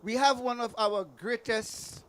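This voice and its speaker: A man's voice: very high voice, fairly even intonation, measured pace, slight background noise. A man informally urges people at an event to come inside and listen. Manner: urging, coaxing, informal. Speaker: event speaker calling the crowd inside.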